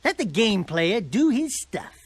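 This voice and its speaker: An actor voicing a small, boastful but cowardly animal sidekick in a video game, squawky and nasal, with brash wisecracks. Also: male voice, high-pitched, very animated, unhurried, husky, dry recording, full character voice. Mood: cocky, smooth. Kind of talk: cartoonish video game acting.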